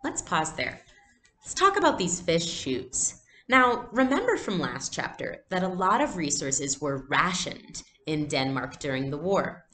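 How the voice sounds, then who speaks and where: A woman reading a storybook aloud as a teacher, in an expressive style with explanations. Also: low voice, measured pace, roomy sound, a little hiss or hum.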